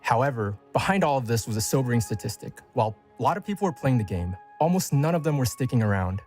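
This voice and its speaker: Brisk man narrating a retrospective. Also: medium pitch, lively intonation, darkish tone, faint music behind. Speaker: game developer. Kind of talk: scripted narration in a video retrospective.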